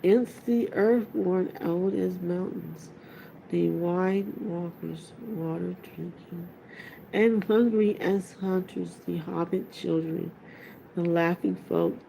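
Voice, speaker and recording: A woman voicing an ancient male tree creature in a storybook, ponderous and hesitant, humming as he deliberates. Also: on the low side, fairly even intonation, gravelly, dark tone, a little hiss or hum, poor audio. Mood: fond, solemn.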